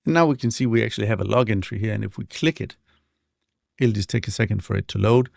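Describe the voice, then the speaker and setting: A man walking through a software demo in a relaxed, explanatory way, with frequent fillers, speaking close to a desk mic. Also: lowish voice, quick pace, creaky, no echo. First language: not English. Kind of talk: screen-share tutorial walkthrough.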